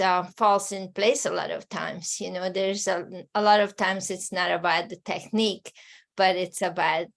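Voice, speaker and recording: A woman with a Hungarian accent, a podcast guest talking casually in a soft, hesitant way with frequent restarts. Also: mid-range voice. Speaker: interview guest. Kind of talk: casual conversation.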